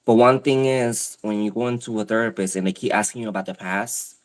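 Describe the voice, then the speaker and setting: Casual chat guest: man, Spanish accent, lowish voice, rambling and candid. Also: a little fast. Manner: rambling, self-interrupting, candid.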